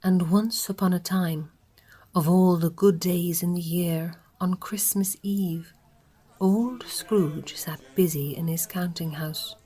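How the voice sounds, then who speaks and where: An Irish woman narrating a radio play in a slow, confiding storytelling way. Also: fairly low pitch, fairly even intonation, dark tone, breathy edge.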